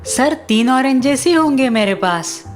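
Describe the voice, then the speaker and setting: A woman's voice: high-pitched, expressive, unhurried, faint music behind. A woman voicing an innocent, confident young schoolboy in a cartoon, speaking politely and with earnest insistence. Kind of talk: cartoon voice acting.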